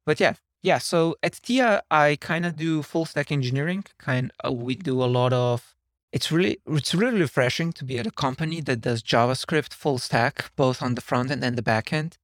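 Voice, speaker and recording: A man from Slovenia, a software engineer guesting on a podcast, who talks casually and wanders off on tangents. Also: on the high side, moderate pace, no echo, studio quality. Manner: rambling, self-deprecating, digressive.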